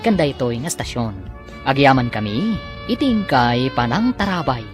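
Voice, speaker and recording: A woman's voice: rumbling low, sing-song, normal speed. A woman with an Ilocano accent reading scripted credits as a radio drama announcer. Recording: music behind.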